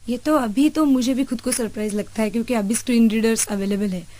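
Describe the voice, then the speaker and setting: A woman's voice: fairly high pitch, steady tone, fast talker, warm tone. A middle-aged woman with an Indian accent answering in an interview, explaining with repeats and rephrasing. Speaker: college lecturer, interview guest. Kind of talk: conversational interview answer, Hindi mixed with English.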